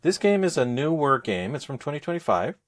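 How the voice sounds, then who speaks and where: A man speaking as a podcast co-host, explaining things in a casual, halting, conversational review style. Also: fairly high pitch, big pitch swings. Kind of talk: casual game review chat.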